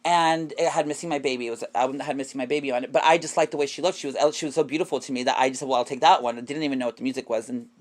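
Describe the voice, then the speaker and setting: A man's voice: medium pitch, fairly even intonation, rapid-fire, few pauses. A man in a casual, opinionated podcast discussion, telling things in a rambling way and correcting himself as he goes. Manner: rambling, self-correcting storytelling.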